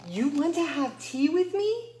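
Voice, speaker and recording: Eager young storybook boy, high and excited, a woman voicing him. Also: measured pace, reverberant, slight background noise.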